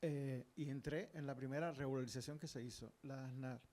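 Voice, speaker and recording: A man's voice: medium pitch, steady tone, a little fast, darkish tone. An older man from Cuba, speaking earnestly from the audience at a panel, with many fillers and restarts. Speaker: audience member, historian. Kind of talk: public panel discussion.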